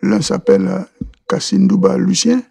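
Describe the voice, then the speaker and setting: Slow, gravelly man from Côte d'Ivoire. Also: sits high, fairly even intonation, warm tone, no room sound, studio quality. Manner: halting, repeats words and restarts often. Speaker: podcast guest. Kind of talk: interview answers.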